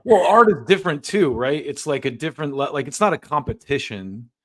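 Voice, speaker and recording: A man's voice: on the high side, very animated, brisk, a little hiss or hum. Easygoing man hosting a casual interview. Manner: easygoing, agreeable, laughs along. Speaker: podcast host. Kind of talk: casual live-stream interview.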